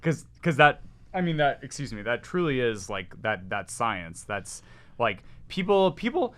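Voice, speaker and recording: A man with a loud, resonant voice, talking excitedly as a podcast guest, rambling with many restarts. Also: mid-range voice, a little slow. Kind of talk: casual chat.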